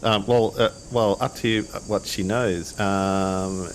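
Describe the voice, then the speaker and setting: Halting low-voiced man over faint insect noise. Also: fairly even intonation. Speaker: game master. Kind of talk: casual out-of-character table talk.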